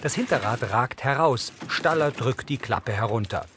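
A man's voice: mid-range voice, lively intonation, normal speed, noisy background. A man reading scripted, matter-of-fact audio description for a TV crime comedy.

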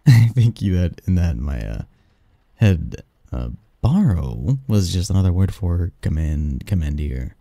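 Guy playing snarky young researcher dude in an asmr roleplay, very mellow and relaxed, swingy intonation, hesitates and teases a friend fondly. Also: low voice, no echo, full character voice. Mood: teasing, fond. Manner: halting, sighing, teasing, wordy. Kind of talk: intimate ASMR roleplay monologue.

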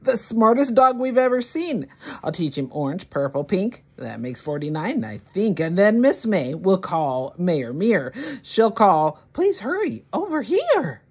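Woman, story-time host, lower-pitched voice, very varied intonation, expressive picture-book reading. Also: slightly rough. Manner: expressive, deliberate delivery for young children. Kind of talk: animated read-aloud of a children's picture book.

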